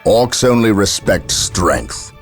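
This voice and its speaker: An actor playing a grave, commanding Space Marine captain in a sci-fi game, speaking in a solemn, measured and formal way. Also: male voice, on the low side, very animated, exaggerated voice. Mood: grim, solemn. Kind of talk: scripted military sci-fi game narration and briefing. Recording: loud music behind. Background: loud game music.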